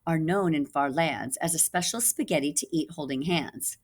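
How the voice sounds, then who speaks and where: A woman's voice: sits low, moderate pace. A woman voicing a pompous storybook wise man who explains things grandly in rhyme. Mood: knowing.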